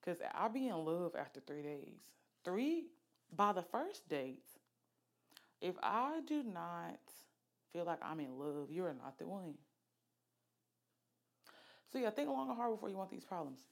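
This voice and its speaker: A woman's voice: lowish voice, big pitch swings, a little slow, gravelly, pauses often. A woman, hosting a podcast in a candid, chatty way with lots of fillers. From Alabama, US. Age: late 20s. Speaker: podcast host. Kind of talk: casual solo podcast chat.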